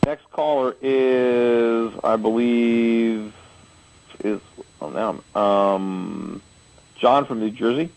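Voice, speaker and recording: A man's voice: mid-range voice, very slow, creaky, darkish tone, rough recording. A man in his forties hosting a call-in radio show, answering callers at length with homely analogies and frequent restarts. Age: 40s.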